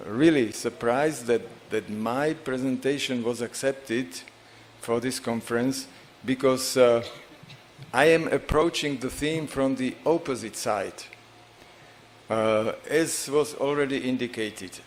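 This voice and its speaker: Slow, halting Slovak-accented man. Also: medium pitch, slight background noise. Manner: halting, many filler pauses, non-native phrasing. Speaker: conference speaker. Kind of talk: conference talk. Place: large conference hall on stage.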